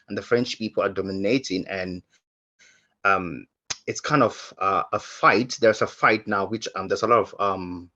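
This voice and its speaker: A man from Cameroon speaking on a webinar panel, telling his story earnestly and haltingly, with many fillers and restarts. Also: lowish voice, a bit bright. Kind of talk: personal testimony on a webinar panel. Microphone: video call. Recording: slightly muffled lo-fi audio.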